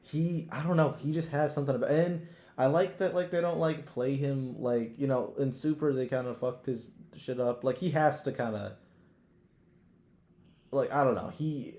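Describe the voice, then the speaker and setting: A man's voice: mid-range voice, normal speed, dark tone. A man chatting casually as a podcast co-host, with an emphatic, slangy, sweary way of talking. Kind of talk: casual chat ranking favourites. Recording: echoey room.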